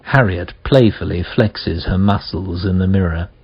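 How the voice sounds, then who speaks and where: A man's voice: sits low, unhurried, husky, highs cut off. A man reading scripted stage directions as the narrator of a radio play.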